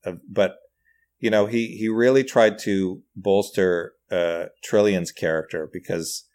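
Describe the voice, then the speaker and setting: A man chatting casually on a podcast as a writer and comedian guest, animated and self-deprecating. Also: on the low side, a little slow.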